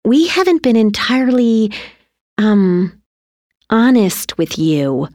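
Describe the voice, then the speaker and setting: A woman voicing a gentle, soothing mother who explains softly and hesitantly in an audiobook story. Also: fairly high pitch, very animated, slow, dry recording, clean studio sound, pitched above the performer's own voice. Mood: gentle, apologetic.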